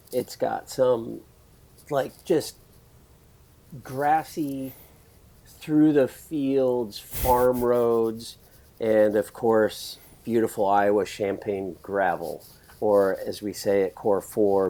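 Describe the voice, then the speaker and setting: A man hosting a podcast in a casual, rambling interview chat, his voice raspy from screaming at a concert. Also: fairly low pitch, warm tone. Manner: chatty, rambling, laughs often. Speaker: podcast host.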